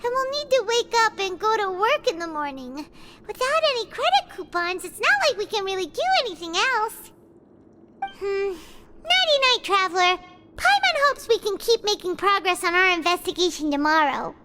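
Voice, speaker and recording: A woman voicing a tiny, excitable childlike fairy companion in an animated video game, squeaky, chirpy and talkative. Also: normal speed, heavy background noise. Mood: weary. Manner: chirpy, refers to self in third person.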